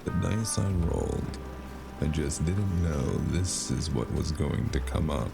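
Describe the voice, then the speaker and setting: A man playing a world-weary, haunted noir smoker in an audio drama, brooding and sardonic, speaking in a measured way. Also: rumbling low, lively intonation, vocal fry, heavily acted. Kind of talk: noir audio drama with first-person narration. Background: loud cinematic music.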